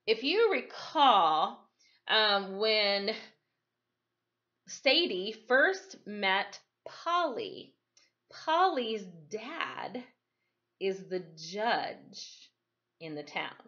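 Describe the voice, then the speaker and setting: A woman teacher reading a storybook aloud in a deliberate, dramatic way, with explanatory asides and checking questions. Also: medium pitch, sing-song, sharp tone. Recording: dull, lo-fi sound.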